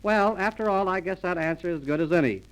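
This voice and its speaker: A man's voice: high voice, quick pace, poor audio. A man hosting a 1930s radio comedy with mock-formal announcer patter. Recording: old 1930s transcription disc, music.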